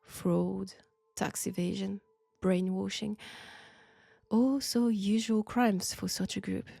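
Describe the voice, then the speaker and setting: A woman with a French accent playing a sinister, flirtatious archaeology professor possessed by an ancient god, deliberate and teasing in an intimate audio roleplay. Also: medium pitch, fairly even intonation, creaky, mellow, no echo. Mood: grave, ominous. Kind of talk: slow, intimate roleplay acting.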